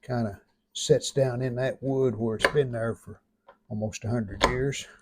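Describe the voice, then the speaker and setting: A man's voice: mid-range voice, steady tone, a little slow, very noisy. A man talking casually through hands-on restoration work, in short, plain-spoken remarks as he goes. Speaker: vlogger restoring an antique radio. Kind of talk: casual hands-on workshop narration.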